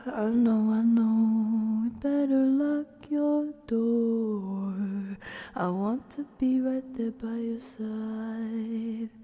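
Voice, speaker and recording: Soft, high-voiced woman, very unhurried. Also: husky. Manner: soft, gentle, drifting between talk and singing. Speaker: live streamer. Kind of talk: ASMR livestream, casual chat and soft singing.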